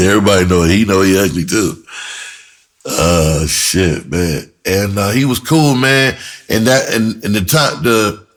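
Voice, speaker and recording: A man telling a personal story in a casual, animated way, with slangy, profane talk and phrases repeated for emphasis. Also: lowish voice, a little slow. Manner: breaks into laughter often while talking. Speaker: screenwriter and performer telling his own story.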